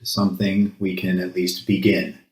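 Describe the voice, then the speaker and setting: A man with a low-pitched voice leads a solemn spoken ritual in a roomy, echoing space. His delivery is very flat and even, set out in short, measured phrases with pauses between them, as a worship leader would speak to a congregation.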